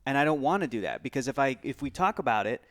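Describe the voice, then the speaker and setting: A man's voice: medium pitch, expressive, fast, fairly bright tone. A man on a review panel chatting casually and emphatically, firing rhetorical questions and repeating himself. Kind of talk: casual chat.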